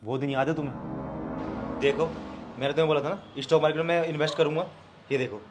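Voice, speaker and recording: A man's voice: on the high side, fairly even intonation, a little fast, over music, close to an everyday voice. A young man with an Indian accent acting a stubborn, fault-finding but earnest husband in a domestic drama. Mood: hurt, challenging. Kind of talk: scripted domestic drama acting in Hindi with some English.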